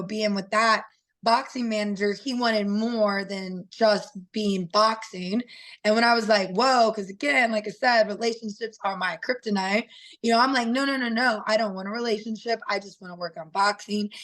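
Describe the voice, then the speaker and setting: Raspy woman in her thirties, interview guest, candid and earnest, mid-pitched voice. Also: normal speed, a bit bright. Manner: open and earnest, with frequent fillers. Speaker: interview guest, professional fighter. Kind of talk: candid personal storytelling.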